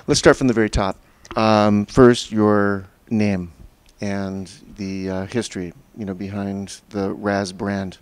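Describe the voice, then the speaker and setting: A male host introduces his guest and asks questions, pausing often on ums and uhs. His voice is fairly low, flat and smooth, with a clean resonance. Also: dry recording. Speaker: talk show host. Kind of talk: hosting an interview.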